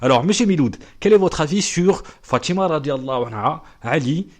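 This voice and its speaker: A man's voice: on the high side, animated, fairly quick. A man hosting a podcast interview, hesitant with many fillers, pressing his guest with questions. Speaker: podcast host.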